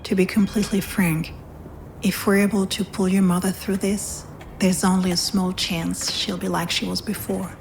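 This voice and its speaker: An actress playing a frank, composed, sympathetic hospital doctor in a visual novel, speaking in a measured, professional way. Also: female voice, medium pitch, little pitch movement, gravelly, a little mellow, slight background noise, natural voice. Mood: grave, sympathetic.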